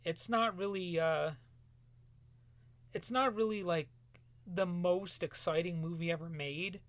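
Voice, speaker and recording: A man talking solo in a rambling podcast commentary, halting and restarting often, his voice cracking as he loses it. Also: sits high, a bit bright, dry recording. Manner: halting, many restarts and fillers. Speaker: podcast host.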